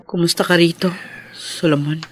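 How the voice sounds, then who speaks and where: A woman's voice: fairly low pitch, fairly even intonation, close to an everyday voice. An actress playing a steadfast, loving wife and mother in an earnest, emotional Filipino radio drama. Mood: gentle concern. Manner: earnest, emotional, measured phrases. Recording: music behind the voice.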